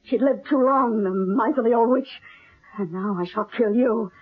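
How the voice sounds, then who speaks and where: A woman's voice: fairly high pitch, moderate pace, breathy edge. A woman playing a genteel but deranged, cold-blooded murderess in a melodramatic old-time radio mystery. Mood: cold menace. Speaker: actress. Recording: muffled, narrow-band old radio recording.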